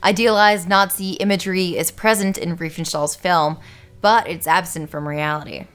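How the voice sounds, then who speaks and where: A woman's voice: lowish voice, fairly even intonation, moderate pace, a bit bright, faint music behind. A young woman hosting a scripted film-analysis video essay, narrating with wry, sarcastic asides.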